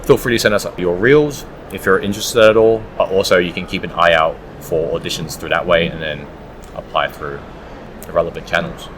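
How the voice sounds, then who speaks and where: A man's voice: low-pitched, steady tone, brisk, slight background noise, poor audio. A man giving conversational, explanatory answers as an audiobook producer being interviewed.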